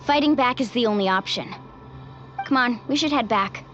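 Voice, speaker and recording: Woman playing a bright-pitched, resolute young warrior. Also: normal speed, highs cut off, faint music behind, natural voice. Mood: determined. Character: resolute, caring young female warrior of a tribe. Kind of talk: anime video game voice acting.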